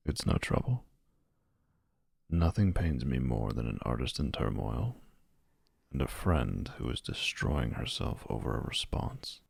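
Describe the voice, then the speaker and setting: A man playing a gentle, caring friend in an intimate audio drama, speaking in a measured, tender, counseling way. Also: big pitch swings, no room sound, clean studio sound, heavily acted. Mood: gently reproving. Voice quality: deep, gravelly, husky. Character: gentle, caring male friend and writerly confidant.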